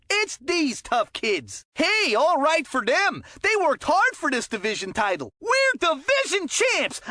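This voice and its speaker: An actor playing a cheerful, folksy and excitable hockey commentator in a kids' video game, chatty and eager. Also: high-pitched, dry recording, studio quality, full character voice. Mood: thrilled. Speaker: sports commentator. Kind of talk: animated sports game commentary.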